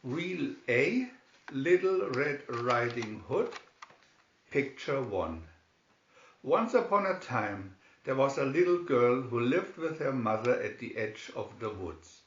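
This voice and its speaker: A man's voice: medium pitch, big pitch swings, takes it slowly. A man narrating a scripted fairy tale expressively as a storybook reader. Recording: roomy, slightly dull recording.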